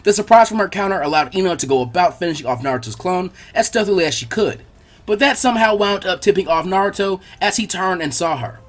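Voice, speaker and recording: A man's voice: sits high, lively intonation, fast talker, fairly bright tone. A man reading a scripted action story aloud as its narrator.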